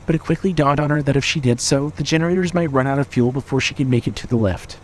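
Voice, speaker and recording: Guy narrating an audio drama, measured but expressive, bit of rumbly noise behind him. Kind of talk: dramatic scripted story narration.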